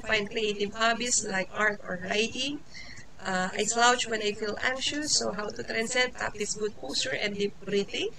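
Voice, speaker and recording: A woman's voice: a little high, poor audio. A Filipino woman in her forties whose first language is Tagalog, giving an informal lesson in English with many fillers, restarts and small laughs. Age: 40s. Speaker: teacher. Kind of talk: informal lecture.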